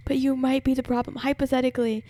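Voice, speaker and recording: A woman's voice: sits high, level tone, quick pace, dark tone. A woman streaming a video game with casual, teasing live reactions and music playing behind her. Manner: playful, teasing.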